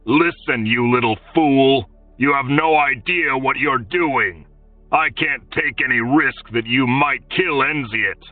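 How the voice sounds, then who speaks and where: A man voicing an angry, exasperated lord who shouts his demands. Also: mid-range voice, slow pace, no echo, distant mic, narrow, tinny audio, soft background music, voice pushed up, natural voice. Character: blustering, angry male lord.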